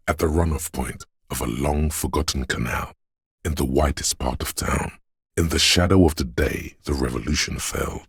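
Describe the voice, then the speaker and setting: A man voicing a brooding, otherworldly inner voice that senses a rainy city, narrating a game in solemn, measured, poetic lines with a husky, gravelly voice. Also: a bass voice, no echo, studio quality, heavily acted. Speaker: video game voice actor. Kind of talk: slow, poetic second-person game narration.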